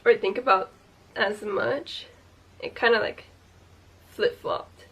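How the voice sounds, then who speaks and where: A woman's voice: medium pitch, slow, creaky, fairly bright tone, reverberant, rough recording. A woman in her twenties talking casually to the camera in a yearly check-in video, chatty and a bit hesitant, with frequent ums. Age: 20s. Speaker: YouTuber.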